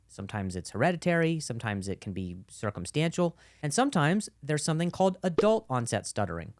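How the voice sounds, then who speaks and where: A man in his thirties with a stutter, a stand-up comedian talking to the crowd and narrating his video. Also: mid-range voice. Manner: animated, exasperated, escalating outbursts.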